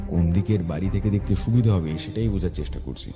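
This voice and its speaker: A man playing a shrewd, confident detective with a brisk, commanding manner in an audio drama. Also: low voice, over music. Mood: assured. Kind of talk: audio story acting.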